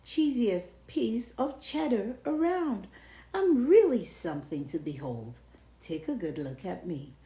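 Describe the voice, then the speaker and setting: A self-admiring big cheese from a storybook, acted out by a woman, hungry for the spotlight. The delivery is pompous and theatrical, slow and savoring, with pitch that swoops widely in a roomy, echoing space. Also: mellow. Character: boastful, showy big cheese craving the spotlight. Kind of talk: dramatic picture-book read-aloud.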